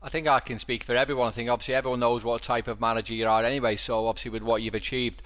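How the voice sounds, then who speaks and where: A man's voice: mid-range voice, little pitch movement, racing, a bit bright. A man chatting casually as a podcast co-host, with informal, rambling phrasing. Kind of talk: casual chat.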